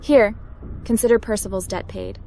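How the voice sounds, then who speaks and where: A woman's voice: mid-range voice, steady tone, moderate pace, vocal fry, a little mellow, a little hiss or hum, natural voice. A woman voicing a confident young female hacker and adventurer in a sci-fi roleplay game, with a breezy, self-assured manner. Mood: purposeful. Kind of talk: roleplay game protagonist dialogue and musings. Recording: game music over the voice.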